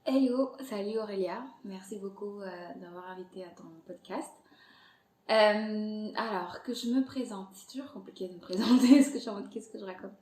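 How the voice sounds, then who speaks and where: A woman in her thirties from Angola, a podcast guest who talks in a lively casual way with many fillers and frequent laughs. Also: mid-range voice, normal speed. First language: Portuguese. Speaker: podcast guest, content creator. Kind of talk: casual interview chat. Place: Montreal. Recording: echoey room.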